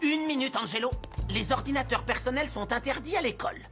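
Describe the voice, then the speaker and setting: A woman's voice: sits high, fairly quick, over music, natural voice. A woman voicing a stern, suspicious and pedantic school staffer in a French-dubbed cartoon.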